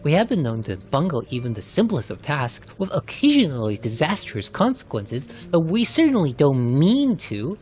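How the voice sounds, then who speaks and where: A man's voice: fairly high pitch, sing-song, normal speed, hardly any pauses, darkish tone, faint music behind, pitched above the performer's own voice. A man voicing a brash, cheeky young adventurer from a fantasy game, teasing and exclamatory.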